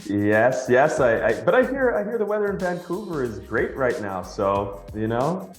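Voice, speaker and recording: A man from Nigeria and the Bahamas, a podcast guest telling his story in an animated way, laughing as he goes. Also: medium pitch, normal speed, reverberant, faint music behind. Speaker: guest, company founder. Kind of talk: podcast interview.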